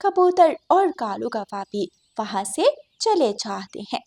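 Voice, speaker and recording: Casting: female narrator for kids' animated tales, fairly high pitch, unhurried delivery. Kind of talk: scripted children's story narration.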